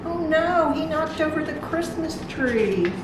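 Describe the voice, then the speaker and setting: A woman reads a picture book aloud to small children in a fairly high voice. She stops to explain things simply, as a storytime reader does. The sound is very echoey, with noise behind her. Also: expressive, unhurried. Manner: simple, explanatory, talking directly to young children. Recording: very echoey room, distant mic, noisy.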